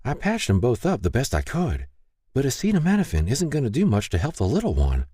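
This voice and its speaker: A man voicing a brisk, practical woman medic in an audiobook. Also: medium pitch, wildly swooping pitch, mellow, husky, no echo, clean studio sound, voice pushed up. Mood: concerned. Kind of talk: audiobook character dialogue.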